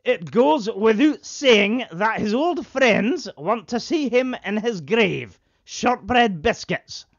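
A man's voice: sits high, lively intonation, slow, vocal fry, heavily acted. A man playing a keen, solemn caricature policeman in a parody of old radio drama. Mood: earnest, solemn. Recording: muffled vintage radio sound.

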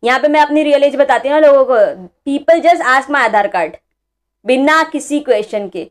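A woman's voice: high voice, normal speed. A young woman giving casual, mocking reaction commentary as a vlogger, exclaiming at what she watches.

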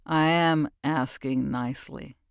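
A narrator voicing a menacing man in his forties with an American accent, who speaks low, quiet and clipped. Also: steady tone, slow pace, gravelly, clean tone, no echo, studio quality.

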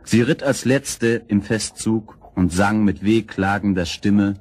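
A man narrating a children's fairy tale as storyteller, with expressive, scripted storybook delivery. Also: lowish voice, slow pace, slightly rough.

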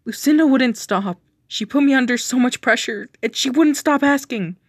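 Guilty cheating boyfriend for a story reading, read by a woman, defensive, pleading, lifted pitch. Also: moderate pace, slightly rough, no room sound, clean studio sound. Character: guilty, defensive young man confessing to cheating.

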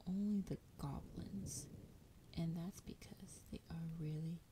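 A woman voicing a sultry, menacing female dragon in a breathy, whispered fantasy roleplay, teasing and drawing out her words. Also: on the low side, fairly even intonation, lightly acted. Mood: curious, mildly annoyed. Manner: slow, teasing, drawn-out delivery.

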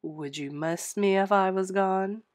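A woman's voice: medium pitch, a little slow, dry recording, clean studio sound, close to an everyday voice. A woman voicing a teasing, dramatic male ghost in a storybook, with a taunting manner. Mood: dramatic, wistful.

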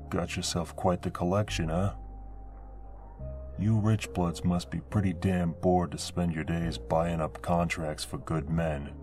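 A man voicing a burly, protective older brother who talks in a gruff, threatening and sarcastic way. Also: sits low, steady tone, a little slow, darkish tone, faint music behind. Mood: surly.

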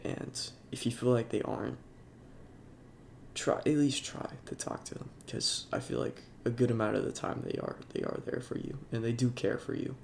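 Young man, gravelly congested voice, halting and soft-spoken, faint hiss behind. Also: medium pitch, fairly even intonation. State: congested, sniffling. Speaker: YouTuber. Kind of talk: casual talk over gameplay.

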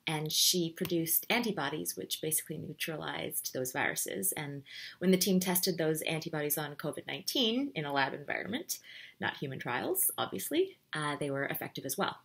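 A woman's voice: sits low, animated, moderate pace, creaky, a bit bright, slightly rough. A woman in her thirties, a playwright talking conversationally to camera in a wry, emphatic way. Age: 30s. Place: bright bedroom, indoors.

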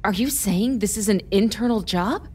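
An actress playing a tough, wry investigator in a noir audio drama, with a sardonic, casual way of talking. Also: female voice, mid-range voice, normal speed, darkish tone, slight background noise, close to an everyday voice. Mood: skeptical, wry.

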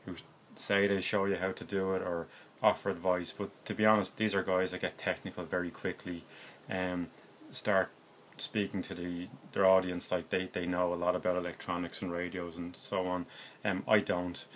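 An Irish man in his forties with a low voice explains a hobby project casually. He speaks plainly and hesitantly, working without a script. He is recorded on a phone in a room at home, with faint noise behind him. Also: flat delivery, moderate pace, a bit bright. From Ireland. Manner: hesitant, unscripted, plain-spoken. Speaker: hobbyist presenter.

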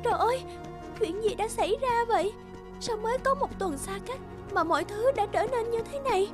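Woman voicing a young maiden, timid and exclaiming, high-pitched, storybook cartoon, music behind. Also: animated, normal speed. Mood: panicked, pleading. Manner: trembling, stammering, exclaiming. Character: frightened young woman held by a beast. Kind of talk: animated fairy-tale dubbing.

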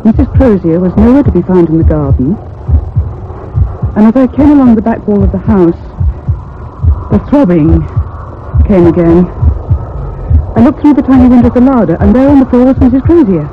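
An actress portrays a thoughtful young woman telling a radio ghost tale. She sounds grave, troubled and haunted, with measured phrasing. The sound is muffled and telephone-thin, with faint noise underneath. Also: medium pitch, expressive, lightly acted. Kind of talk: old-time radio drama narration. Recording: muffled phone-like radio recording with hum.

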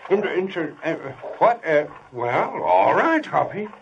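An actor playing a flustered, folksy cowboy sidekick who stammers in a rustic way in a vintage western radio comedy-drama. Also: male voice, on the high side, big pitch swings, unhurried, gravelly, lo-fi sound, crowd noise, exaggerated voice. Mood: flustered, baffled. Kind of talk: 1950s western radio acting. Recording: old radio recording, faint hiss, clicks.

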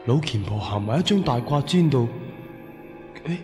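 Actor as a cheeky young rogue, reverberant. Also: male voice, mid-range voice, animated, normal speed, dark tone, close to an everyday voice. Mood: excited, curious. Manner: exclamatory, slangy, mocking. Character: cheeky, irreverent young rogue, commenting aside. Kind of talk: Cantonese radio drama acting. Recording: reverberant voice with music behind.

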